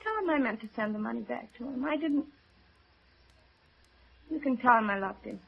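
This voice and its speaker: A woman's voice: on the high side, lively intonation, normal speed, vocal fry, slight background noise. An actress playing a distraught, despairing young woman on the edge, with fragile, bitter delivery in 1950s radio drama style. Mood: weary despair. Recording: dull lo-fi old radio recording.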